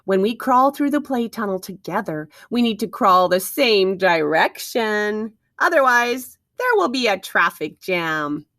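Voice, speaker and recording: A woman's voice: medium pitch, a bit bright, no room sound, studio quality. A woman reading aloud to young children like a teacher, expressively, with questions and wondering asides to her listeners.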